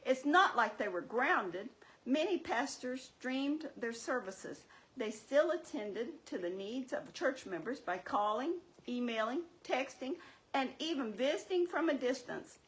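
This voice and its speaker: Woman as sensible, teasing church-show puppet: high pitched, swooping intonation, explains and chides. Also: animated, unhurried, fairly bright tone, rough recording. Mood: earnest, correcting. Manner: explanatory, chiding, wry. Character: Mary, sensible, teasing, scolding female puppet.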